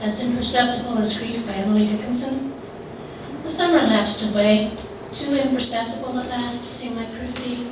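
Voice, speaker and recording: A woman reading a poem aloud as a congregation member at a service. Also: on the high side. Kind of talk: poetry recitation. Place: large echoey indoor room at a church service, mic at a distance. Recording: distant, echoey hall with clear noise.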